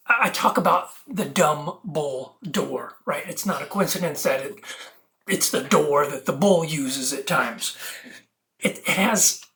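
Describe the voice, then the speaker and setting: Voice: mid-range voice, fairly bright tone. A video host giving a rambling spiritual monologue to viewers, preachy and earnest, leaning emphatically on wordplay and split-up words.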